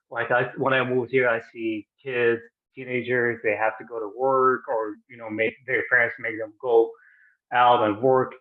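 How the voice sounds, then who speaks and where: A man in his thirties from Iraq, a podcast guest telling his story in a halting, casual way with many restarts. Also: medium pitch. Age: 30s. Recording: tinny phone-like line.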